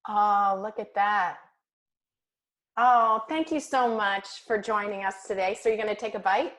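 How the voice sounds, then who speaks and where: A woman's voice: medium pitch, a little slow, a bit bright. A woman hosting a cooking show interview, giving kitchen tips and encouraging her guest. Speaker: host. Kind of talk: casual interview chat.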